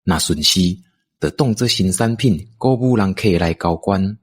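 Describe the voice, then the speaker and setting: A low-voiced man with a Taiwanese accent narrates an audio drama. His voice is husky and he reads a scripted story. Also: expressive, moderate pace.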